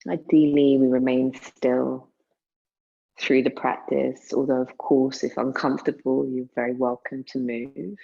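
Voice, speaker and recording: A woman guiding a meditation in a soothing, unhurried manner, leaving long pauses between phrases. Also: very deep voice, little pitch movement, gravelly, warm tone, husky, muffled recording. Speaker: yoga nidra meditation guide. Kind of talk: guided meditation.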